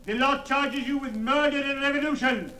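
Distant, high-pitched calling police officer. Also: male voice, steady tone, normal speed, pushed and bright. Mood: stern, commanding. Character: police officer shouting orders from outside. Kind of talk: old-time radio acting. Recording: distant, echoing, faint music behind.

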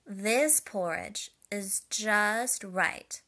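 A woman voicing a fussy little girl in a storybook reading, loud and slow. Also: mid-range voice, full character voice. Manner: loud and slowed down.

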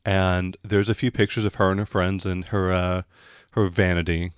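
A man's voice: low-pitched, little pitch movement, normal speed, clear, resonant tone, no echo, clean studio sound. A man acting as game master, improvising narration for a tabletop mystery game and fielding the players' questions.

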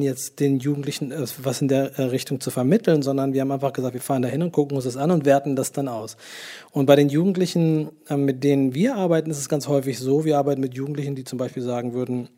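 A middle-aged man talks through his work with young people in a loose, rambling way, full of fillers and restarts. His voice has a dark, mellow tone and sits at a middle pitch for a man. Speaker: youth worker running hip-hop projects. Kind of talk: conversational interview answers.

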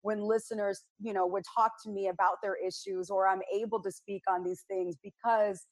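A woman's voice: mid-range voice, little pitch movement, moderate pace. An outspoken woman from Chicago's south side, talking as a guest on a panel discussion. She tells her stories bluntly and with lots of animation, in the easy, sure manner of a radio personality. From United States, south side of Chicago.

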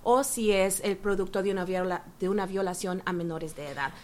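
A woman speaks as an interview guest in a mid-range voice. She explains earnestly and methodically. She often pauses on fillers as she works through each point. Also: mid-range voice, fairly even intonation. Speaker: guest activist.

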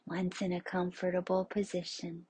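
A woman leading a guided relaxation as a yoga nidra instructor, soothing and unhurried, in a hushed, breathy voice that is often near a whisper. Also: lowish voice, monotone, rough recording. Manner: soothing, unhurried, spacious pauses.

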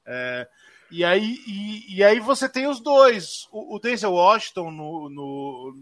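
A Brazilian man co-hosting a casual film podcast, animated and full of restarts and fillers. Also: high voice, slow pace. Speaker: podcast co-host.

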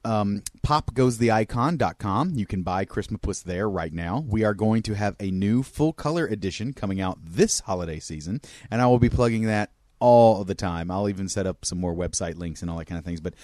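A man's voice: lowish voice, a little fast, hardly any pauses, darkish tone, no room sound. A man chatting and bantering casually as a podcast co-host.

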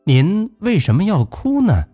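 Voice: medium pitch, huge pitch swings, a little slow, mellow, faint music behind, voice pushed up. A storyteller playing a polite, eager little pig.

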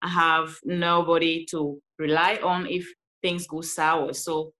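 Lively Cameroonian woman, low voice. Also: slow. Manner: animated, laughs often, restarts sentences. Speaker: guest, life coach. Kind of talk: casual interview storytelling.

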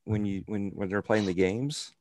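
A man's voice: fairly low pitch, fairly even intonation, normal speed, darkish tone, no room sound. Rambling male host, dry close sound. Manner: rambling anecdotes with many restarts. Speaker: interview host. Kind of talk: casual interview chat over a call.

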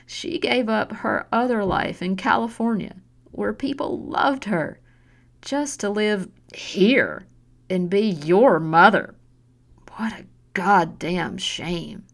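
A woman voicing a drunk, cruel mother who taunts and shouts with harsh scorn, in a storybook reading. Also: fairly low pitch. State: drunk, slurring. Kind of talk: audiobook narration.

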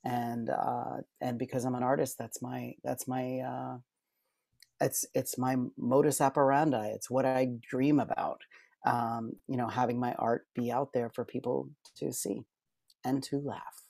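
Middle-aged man, podcast guest, earnest and heartfelt, halting fillers, dead-dry sound. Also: mid-range voice, level tone, creaky, darkish tone, no room sound. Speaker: podcast guest, author and artist. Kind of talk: reflective interview talk.

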